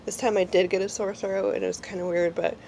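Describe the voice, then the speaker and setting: A woman's voice: mid-range voice, level tone, fast talker, gravelly, dark tone, slight background noise. A woman in her forties with a congested, slightly nasal voice from a cold, chatting casually and rambling through a beauty product review at home. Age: 40s. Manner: chatty, rambling, lots of fillers. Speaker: beauty vlogger. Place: small indoor room at home.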